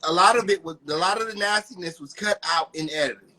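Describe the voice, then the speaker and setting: A man's voice: high voice, fast, pushed and bright, poor audio. A man hosting a casual, heated discussion, talking in a sassy, emphatic and challenging way. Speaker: host of a discussion show.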